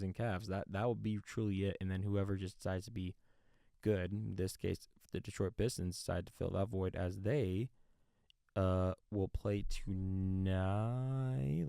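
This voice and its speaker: Deep gravelly guy doing off the cuff sports talk, kinda halting, pretty flat delivery. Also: no room sound, clean studio sound. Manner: halting, rephrases mid-sentence. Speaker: sports show host. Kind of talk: unscripted sports commentary.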